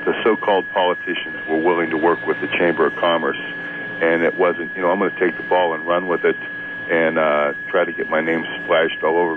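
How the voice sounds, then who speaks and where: A man calling in to talk radio, chatting about politics in a halting, repetitive and emphatic way. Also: sits low. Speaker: radio call-in guest. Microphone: telephone. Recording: tinny phone line, noisy hum, radio aircheck.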